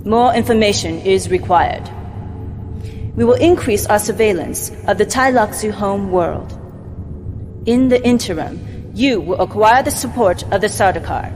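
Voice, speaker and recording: An actress playing a cold, formal adviser in a science fiction game, briefing in a measured, clinical way. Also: female voice, mid-range voice, darkish tone, natural voice. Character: cold, formal woman adviser of a scheming merchant house. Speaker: mission briefing officer. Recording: music behind the voice.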